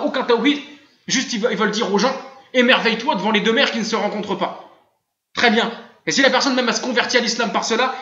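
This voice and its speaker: A man with an Arabic-tinged French accent giving a live online religious lesson, animated, firing off rhetorical questions and chuckling as he goes. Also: sits high. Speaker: religious teacher. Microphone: voice chat room. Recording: roomy, dull lo-fi voice-chat audio.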